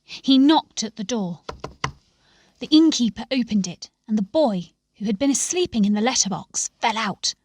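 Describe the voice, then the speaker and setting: Female storybook narrator, fairly high voice, close dry sound, expressive and measured delivery. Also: no room sound. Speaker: story reader.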